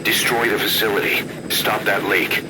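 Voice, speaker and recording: Actor as low-voiced grave military adviser. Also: male voice, steady tone, normal speed, lightly acted. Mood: businesslike, firm. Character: grave, composed older male military adviser briefing his commander. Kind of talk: scripted mission briefing and exposition. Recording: loud helicopter and aircraft noise behind. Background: helicopter rotor and engine ambience.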